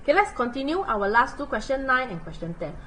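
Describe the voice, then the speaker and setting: Animated Singaporean woman tutoring math. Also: high voice, fast talker, slight background noise. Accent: Singaporean English. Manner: chatty, animated, uses local particles like lah and leh. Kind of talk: livestream math lesson.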